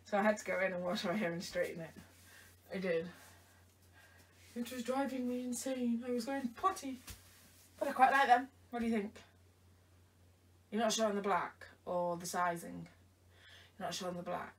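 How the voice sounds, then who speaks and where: A woman's voice: mid-range voice, fairly even intonation, moderate pace, long pauses. A tired woman chatting playfully in a try-on vlog, teasing someone off camera. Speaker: vlogger. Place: bedroom indoors. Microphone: camera across the room. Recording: voice from a distance.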